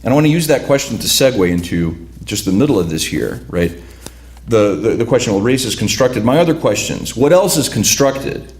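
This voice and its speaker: A man with a fairly low voice reads from his own book at a literary evening. He talks reflectively, with hesitations and repeated words, and Lithuanian is his first language. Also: animated, darkish tone. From United States, raised among Lithuanian migrants. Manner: reflective, halting with repeated restarts. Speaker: author. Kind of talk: reading his prose at a literary event.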